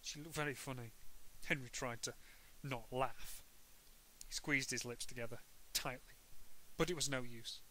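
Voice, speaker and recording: A man narrating a children's story with playful, expressive delivery. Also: mid-range voice, moderate pace, long pauses, low-quality recording.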